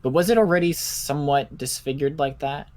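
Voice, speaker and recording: A man roleplaying a cautious, analytical doctor in a zombie survival game, speaking in a deliberate, musing, clinical way. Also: a little high, a little mellow, natural voice. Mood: thoughtful, measured. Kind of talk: improvised Minecraft roleplay.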